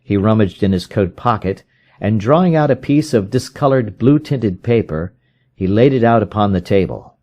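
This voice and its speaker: A man's voice: lowish voice, animated, moderate pace, warm tone. A man reading a classic detective story aloud as scripted narration. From United States. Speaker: audiobook reader.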